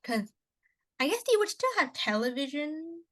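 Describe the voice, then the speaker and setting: A woman's voice: sits high, sing-song, normal speed, bright, forceful tone. A young woman who grew up speaking Cantonese at home, co-hosting a casual podcast chat, talking in a rambling way with many restarts and asides. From Canada. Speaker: podcast co-host.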